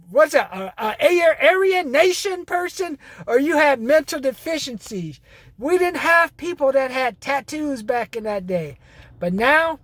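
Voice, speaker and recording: A man's voice: very high voice, a bit bright, no room sound. A man, ranting casually and bluntly to his viewers, rambling and swearing as he goes. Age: 50s. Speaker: vlogger. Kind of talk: casual rant to camera.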